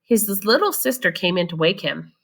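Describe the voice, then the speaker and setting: A woman's voice: on the low side, sing-song, moderate pace, vocal fry, a bit bright. A woman storyteller reading a children's picture book expressively and explaining it to young listeners. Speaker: read-aloud storyteller.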